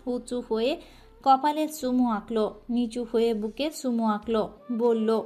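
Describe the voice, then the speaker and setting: A woman narrating a scripted romantic audio story in a soft, emotive way. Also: on the high side, fairly even intonation, unhurried.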